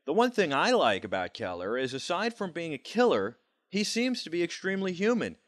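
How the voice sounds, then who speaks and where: Reporter voice-over: American man, higher register, very animated intonation, dry sound. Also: fairly quick, dry recording. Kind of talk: scripted video report narration.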